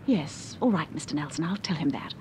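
A woman's voice: low-pitched, animated, brisk, a little mellow. An actress playing a courteous, well-bred English married woman with a British accent in a vintage radio drama. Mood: polite. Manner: courteous, proper. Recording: noisy old radio drama recording.

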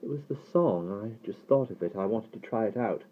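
A man's voice: on the low side, lively intonation, normal speed, breathy edge, pitched above the performer's own voice. Man voicing a guileless English boy, muffled audio. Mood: feigned innocence. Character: earnest English boy feigning innocent foolishness.

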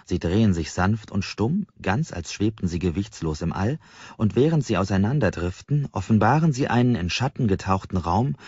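Low, husky male audiobook narrator. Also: big pitch swings, normal speed, darkish tone. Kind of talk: scripted narration of a novel. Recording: slightly dull, roomy sound.

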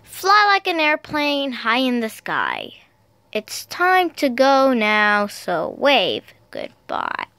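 A woman reading picture books aloud for toddlers in a sing-song, deliberate, child-directed way. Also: on the high side, fairly bright tone. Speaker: storyteller, video host.

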